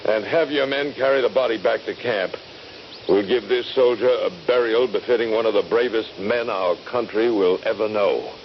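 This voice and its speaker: Actor as a grave, low-voiced army captain. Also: male voice, lightly acted. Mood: grave, puzzled. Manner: measured, solemn, authoritative. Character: grave Union Army captain examining a dead soldier. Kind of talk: radio drama acting. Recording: dull, lightly noisy 1970s radio recording.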